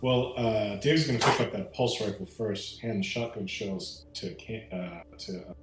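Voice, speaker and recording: A man's voice: lowish voice, measured pace. A man chatting casually as a player in a tabletop roleplaying game. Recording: roomy echo, faint music behind.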